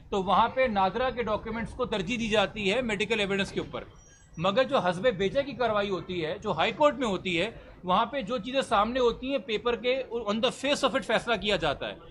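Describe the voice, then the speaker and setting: A man's voice: high-pitched, fairly bright tone, slight background noise. A Pakistani man speaking as a lawyer to reporters, articulate, formal and emphatic, mixing English legal terms into his speech. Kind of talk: press statement answering reporters' questions.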